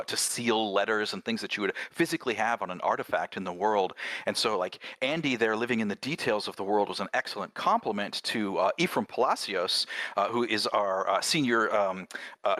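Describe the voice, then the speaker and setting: Bright-voiced man chatting in a dry space. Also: on the low side, moderate pace, dry recording. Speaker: game creator discussing his project. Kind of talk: casual discussion.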